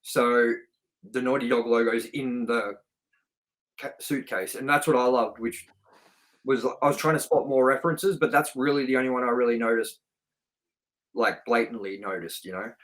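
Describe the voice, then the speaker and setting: A man's voice: medium pitch, steady tone, normal speed, a bit bright, rough recording. A man hosting a casual film review chat, speaking in an informal, chatty way with some swearing. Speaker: podcast host and film reviewer.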